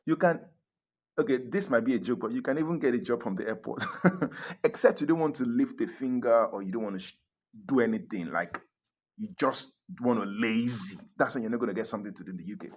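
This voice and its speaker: A man's voice: mid-range voice, fairly quick, husky. A man vlogging about moving abroad, talking casually to camera, emphatic, repeating his points and chuckling often. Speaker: relocation vlogger.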